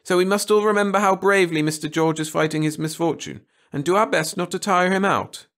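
A man's voice: high-pitched, fairly quick, fairly bright tone, pitched above the performer's own voice. A man voicing a strict, fussy, complacent woman schoolteacher in a storybook reading, scolding and primly authoritative. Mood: firm, prim. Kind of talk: storybook audiobook character voice.

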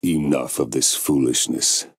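Stern, extremely deep rogue ninja leader. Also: male voice, fairly even intonation, measured pace, slight background noise. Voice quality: gravelly. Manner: terse, authoritative. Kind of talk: anime video game voice acting.

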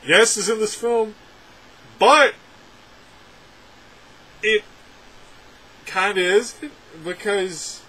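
A young adult man reviewing a film from a room at home, talking sarcastically with animated, exaggerated outbursts. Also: on the high side, slight background noise, low-quality recording. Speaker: movie reviewer. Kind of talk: casual ranting film review. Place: home room with packed shelves, indoors. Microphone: webcam framing at desk.

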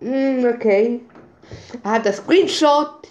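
A woman reading group chat messages aloud over a screen recording, in a slow, halting way with muttered asides, on a phone mic. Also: fairly high pitch, darkish tone, lo-fi sound. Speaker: channel owner.

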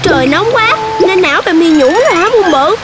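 A performer voicing a cheeky, argumentative young puppy child in a children's cartoon, with an expressive childlike voice. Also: normal speed, heavy background noise. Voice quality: childlike, high. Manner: bickering, expressive. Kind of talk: children's animated cartoon dubbing. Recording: music behind the voice.

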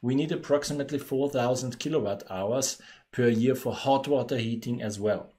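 Austrian guy giving a webinar talk, mid pitch, lots of uh and um. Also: moderate pace, warm tone. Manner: explanatory, many fillers and restarts. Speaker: webinar presenter. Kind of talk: business webinar presentation.

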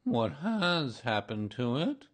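A man voicing a gloomy, self-pitying male donkey in a children's storybook, with a mournful, drawn-out, resigned delivery. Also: mid-range voice, big pitch swings, a bit bright, pitched above the performer's own voice, full character voice. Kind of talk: children's storybook character reading.

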